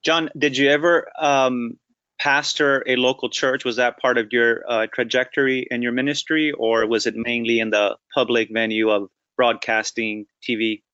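A man's voice: medium pitch, steady tone, normal speed, pushed and bright, clear, resonant tone, dry recording, dull audio. A man interviewing a guest, asking brief, encouraging questions.

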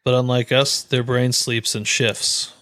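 A man's voice: medium pitch, level tone, measured pace, a bit bright. An American man chatting casually as a podcast co-host, rambling and joking in an informal way.

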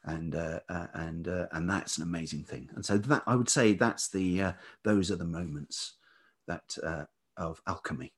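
A man speaking as an interview guest in a reflective, conversational way, thoughtful and halting as he searches for words. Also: low voice, breathy edge. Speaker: interview guest, writer and musician.

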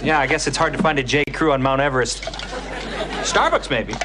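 Sitcom father, played by an actor: middle-aged man, medium pitch, dry wit, protective, noisy soundstage. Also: expressive, brisk, crowd noise, natural voice. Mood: wry. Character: dry-witted, protective, nerdy single dad, a professor.

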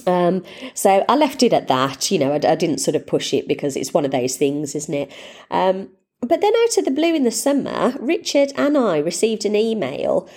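A woman's voice: on the low side, very animated, moderate pace, darkish tone. A middle-aged woman hosting her own podcast, congested with a cold, talking in a chatty, upbeat, rambling way. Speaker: podcast host. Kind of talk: casual solo podcast chat.

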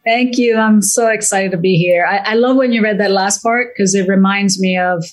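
Enthusiastic woman in her fifties. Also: mid-range voice. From Italy. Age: 50s. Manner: enthusiastic, quick, repeats words when excited. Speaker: guest wealth advisor. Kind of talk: casual interview chat.

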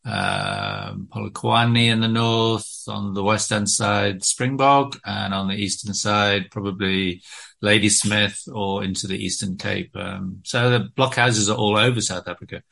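Middle-aged British man, low-ish voice, forceful and bright, halting with lots of ums. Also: lively intonation, gravelly. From Britain. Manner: hesitant, many ums and restarts. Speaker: guest author. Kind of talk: casual interview talk.

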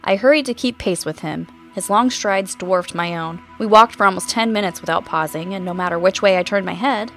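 A woman performs an inquisitive podcast investigator in a scripted audio drama. Her voice is bright and forward with a creaky edge. She tells the story in a measured, reflective way. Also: medium pitch, soft background music, close to an everyday voice. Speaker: podcast host narrator.

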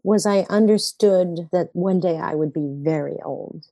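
An older woman speaks in a low, mellow voice that is dry and close to the mic. She is a playwright in a relaxed interview, musing and rambling, often stopping to correct herself with a wry humor. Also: a little slow, no echo. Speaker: playwright guest. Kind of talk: conversational interview.

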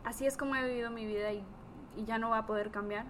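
A woman in a casual discussion, explaining earnestly as a co-host and pausing between phrases. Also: fairly high pitch, fairly even intonation, slight background noise. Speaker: therapist, podcast co-host.

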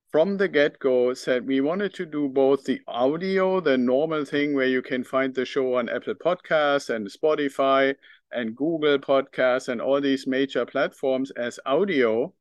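A middle-aged man with a German accent talks alone into the mic as a podcast host. His voice sits at an ordinary pitch, earnest and reflective, with small hesitations as he thinks aloud. The recording is close and dry, with no room sound. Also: dry recording. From northern Germany. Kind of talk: solo podcast monologue.

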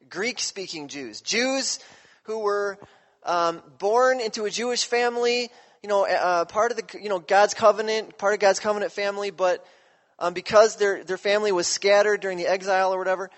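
A pastor preaching a sermon in a relaxed, conversational way, often checking in with his listeners. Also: high-pitched, big-room sound.

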